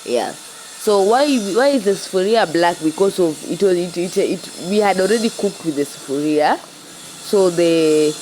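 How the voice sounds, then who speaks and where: A young Kenyan woman with a Kenyan accent narrating her outdoor cooking vlog in a relaxed, chatty way, a little stuffy from a cold. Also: on the low side, unhurried, a little hiss or hum, poor audio. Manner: relaxed and chatty, with frequent "yeah" and restarts. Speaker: cooking vlogger. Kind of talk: casual voice-over narration of a cooking video.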